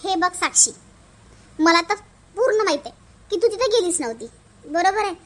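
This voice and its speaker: A woman plays a righteous, outspoken young neighbour in a cartoon family drama. The pitch is extremely high. She moralizes with emphatic reproach. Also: animated, normal speed, fairly bright tone. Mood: accusing.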